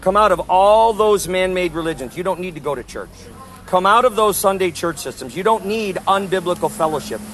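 A man preaching outdoors in conversation, loud and emphatic, quoting scripture insistently. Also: sits high, expressive, a little fast, slight background noise. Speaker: street preacher.

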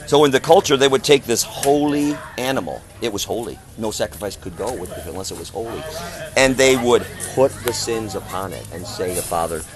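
A man's voice: on the low side, a little fast, over music. A man with a big voice preaching on the street in an emphatic, declamatory manner full of rhetorical questions. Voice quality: big, loud voice. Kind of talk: impassioned street preaching and open-air discussion.

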